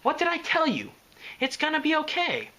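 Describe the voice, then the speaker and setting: A reader voicing a caring, studious young unicorn mare who speaks gently. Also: high voice, wildly swooping pitch, normal speed, voice pushed up, exaggerated voice. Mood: gentle. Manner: gentle, fond.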